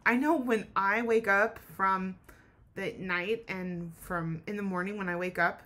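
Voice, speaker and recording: A woman's voice: medium pitch, a bit bright. A woman teacher giving a children's read-aloud lesson, speaking expressively and explaining things in simple terms.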